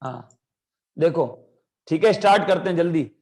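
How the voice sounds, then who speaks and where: A man's voice: on the high side, expressive, normal speed, darkish tone. A young man with a sore throat teaching an online children's class, coaxing the kids with lots of energy. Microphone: video call.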